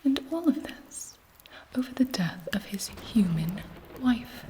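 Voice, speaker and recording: A woman voicing a haughty, seductive vampire queen in an ASMR roleplay, speaking softly with an aristocratic, condescending manner. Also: fairly high pitch, rough recording. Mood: conspiratorial scorn. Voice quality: soft breathy whisper.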